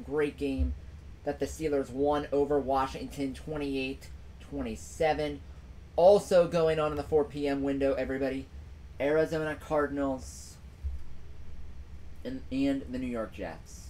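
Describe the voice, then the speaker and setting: A young man talks into a desk mic in a small room at home, hosting his own sports stream. He goes through the scores at an unhurried pace, leaning hard on repeated phrases. A faint hiss sits under his voice. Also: medium pitch, rough recording. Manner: emphatic, repeats phrases for effect. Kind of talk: solo sports recap stream.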